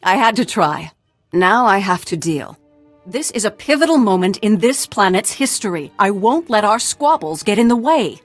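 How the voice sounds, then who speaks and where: A woman's voice: medium pitch, lively intonation, moderate pace, faint music behind, lightly acted. A woman acting a principled, defiant fighter in a video game, speaking firmly and earnestly. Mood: earnest, resolute. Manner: earnest, idealistic, firm.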